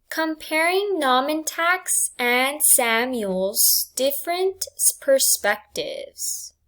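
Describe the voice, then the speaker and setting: A woman teacher reading test questions and answer choices aloud in a deliberate, enunciated way for students. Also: sits high, fairly bright tone. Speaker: English language arts teacher.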